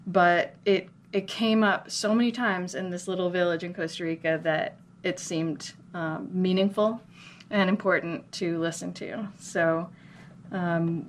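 American lady being interviewed, kinda low voice, earnest n reflective, some noise in the room. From Minnesota, United States. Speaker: interview guest, chocolate business owner. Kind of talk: conversational interview storytelling. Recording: clear background noise, room ambience.

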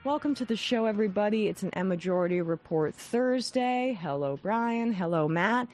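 A woman hosting a political talk show. She has a head cold with some vocal fry and talks in a scathing, animated way with frequent restarts. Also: medium pitch, moderate pace, faint music behind. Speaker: host.

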